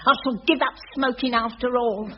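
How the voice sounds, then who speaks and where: An actress playing an over-amorous, sentimental cleaner in a radio sitcom, gushing with an English working-class accent. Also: female voice, fairly high pitch, fairly even intonation, moderate pace, lightly acted. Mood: lovestruck. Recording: dull, noisy vintage radio recording.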